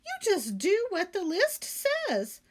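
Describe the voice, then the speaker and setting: Lady of the house for a storybook a woman acts it: very high, emphatic, fussy, apologetic. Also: huge pitch swings, slow, heavily acted. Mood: flustered, apologetic. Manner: exclaiming, emphatic. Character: fussing, apologetic lady employer instructing her new maid. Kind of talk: children's storybook read-aloud character voice.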